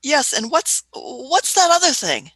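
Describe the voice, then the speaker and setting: A woman's voice: high-pitched, big pitch swings, a little slow, a bit bright, no room sound, exaggerated voice. A woman playing an elderly, fussy scientist-adventurer in a radio play, musing and dithering with repeated words and little questioning hums. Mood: curious. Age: older.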